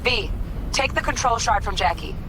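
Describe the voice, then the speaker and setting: A woman's voice: fairly high pitch, animated, normal speed, noisy background, lightly acted. A woman playing a cool, terse hacker in a video game, giving clipped, no-nonsense orders. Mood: cool, instructing. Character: terse, cool female hacker directing a heist.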